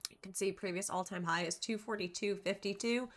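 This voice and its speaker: Woman w/ allergies, bit stuffy, chatty trading lesson, lots of ums, mid pitch. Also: fairly quick. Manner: chatty and informal, frequent ums and throat clearing. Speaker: stock trading educator. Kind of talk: casual chart-analysis lesson.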